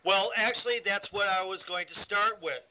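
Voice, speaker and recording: A man's voice: high voice, normal speed. A man co-hosting a wrestling talk show, chatting casually with lots of fillers and restarts. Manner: hesitant, many fillers. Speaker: co-host, wrestling referee. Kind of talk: casual radio chat. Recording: tinny phone-like audio.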